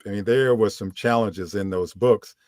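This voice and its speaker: A man with a deep voice, an audiobook narrator talking casually in an interview, relaxed, with many fillers and restarts. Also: moderate pace, no echo, clean studio sound.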